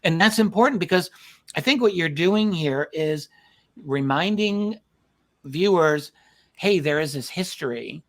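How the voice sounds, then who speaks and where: A man hosting a conversational interview, speaking in a thoughtful, halting way with repeated words. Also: a little high, animated. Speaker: host interviewing a documentary filmmaker.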